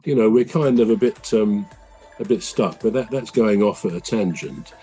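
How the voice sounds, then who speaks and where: Low, mellow-voiced man musing on a podcast. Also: normal speed, slightly rough, faint music behind. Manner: thoughtful, rambling, with frequent fillers and restarts. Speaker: podcast co-host. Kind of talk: casual podcast chat.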